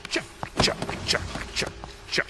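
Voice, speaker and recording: A man's voice: slow, heavy background noise, lightly acted. An actor playing a sly, smug crime boss posing as a businessman in an audio drama, pompous and taunting, slipping between murmurs and flourishes. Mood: smug, playful.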